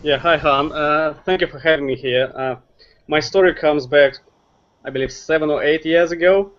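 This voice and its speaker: A man's voice: on the high side, normal speed, lo-fi sound. A man from Russia with a Russian accent, earnestly giving marketing advice as a guest in an online interview. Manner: earnest, advice-giving, some grammar slips.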